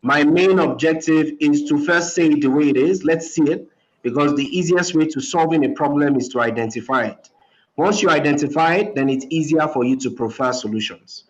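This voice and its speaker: A man moderating a casual group discussion, measured and leaning on "you know" as he frames his questions. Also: a little high, lo-fi sound.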